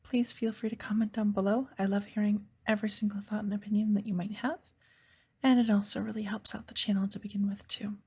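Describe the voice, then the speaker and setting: Hushed, husky-voiced woman. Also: medium pitch, little pitch movement, fast talker. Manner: friendly, appreciative, hushed. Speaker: audio roleplay creator. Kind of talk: soft-spoken ASMR channel outro.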